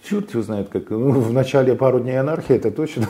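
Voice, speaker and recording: A man from Belarus, talking haltingly as an interview guest, with many fillers and restarts. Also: mid-range voice, warm tone, a little hiss or hum. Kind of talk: casual interview talk.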